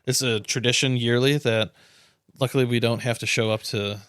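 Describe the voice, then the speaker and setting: A man's voice: mid-range voice, steady tone, normal speed, fairly bright tone, clear, resonant tone, no room sound. Male American podcast co-host, mid-range voice, loose joking banter, profanity welcome, very dry recording. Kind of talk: casual chat.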